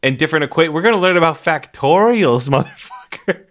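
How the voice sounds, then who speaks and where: A man with a booming, loud voice co-hosting a podcast in casual, jokey, profane and animated chat. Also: brisk. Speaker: podcast co-host.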